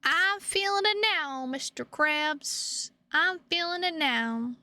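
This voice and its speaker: A tired woman chatting casually to her livestream viewers in a halting, rambling way with drawn-out words. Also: high-pitched, animated, pushed and bright. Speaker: streamer.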